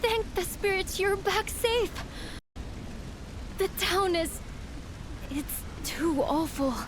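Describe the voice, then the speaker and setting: A woman's voice: very high voice, lively intonation, slow pace, background noise, exaggerated voice. An actress playing an earnest, distressed townswoman in a fantasy video game. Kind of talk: video game cutscene acting.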